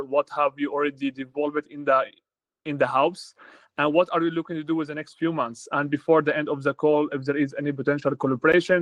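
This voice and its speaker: A man's voice: a little high, dry recording, rough recording. Swedish-born man hosting a dry-sounding call. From Sweden. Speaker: capital-raising advisor hosting a call. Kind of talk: business discovery call.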